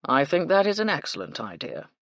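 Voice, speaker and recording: A man's voice: fairly high pitch, very animated, a little fast, creaky, fairly bright tone, no room sound, heavily acted. A woman voicing a stiff, formal, deferential English manservant in a storybook, with a dry manner. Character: dry, dutiful, formal male English hotel butler.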